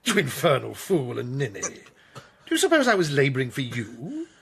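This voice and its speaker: An actor playing a smooth, scheming gentleman captain and card-sharp in a period radio drama, with a florid, affected manner. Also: medium pitch, huge pitch swings, normal speed, a little hiss or hum. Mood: haughty, contemptuous. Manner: theatrical, hesitating with affected politeness.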